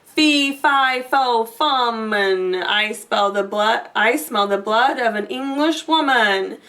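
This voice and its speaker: A woman voicing a boastful, menacing male giant in a storybook, with booming delivery. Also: slow, fairly bright tone.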